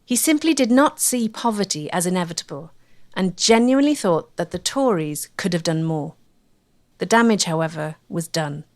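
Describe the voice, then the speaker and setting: A woman's voice: fairly low pitch, lively intonation, normal speed. A woman narrating a history documentary from a script.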